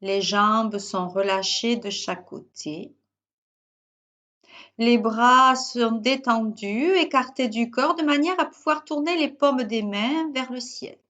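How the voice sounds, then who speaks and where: A woman guiding a yoga nidra relaxation as a teacher, in short, measured instructional phrases with pauses. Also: on the high side, fairly bright tone, muffled recording. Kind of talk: guided yoga nidra meditation.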